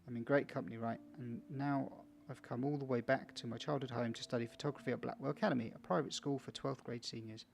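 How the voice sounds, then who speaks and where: A man's voice: medium pitch, fairly even intonation, fast, vocal fry, few pauses. A man playing a video game for viewers, casually reading its text aloud with small stumbles and chatty asides. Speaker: video game let's player.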